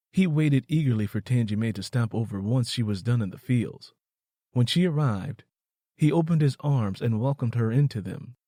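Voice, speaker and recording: A man's voice: medium pitch, warm tone, no room sound, studio quality. A man reading scripted historical fiction as an audiobook narrator.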